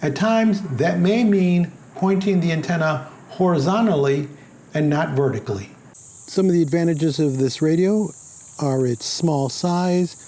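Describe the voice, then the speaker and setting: A man narrating a scripted product review in a plain, measured way. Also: fairly high pitch, warm tone.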